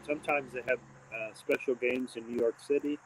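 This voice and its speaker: A man's voice: medium pitch, steady tone, fairly quick, slight background noise, poor audio. Rambling man chatting casually on a livestream. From born in Texas, raised mostly in Indiana. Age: adult with a daughter. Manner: rambling, many fillers and restarts. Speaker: host of a live stream.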